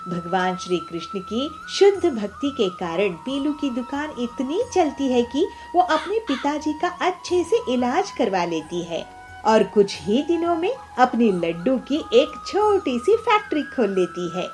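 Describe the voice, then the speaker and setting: A woman narrates a children's cartoon tale. Her voice is very high with animated, swinging intonation, and faint music plays behind her. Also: unhurried. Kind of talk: scripted children's story narration.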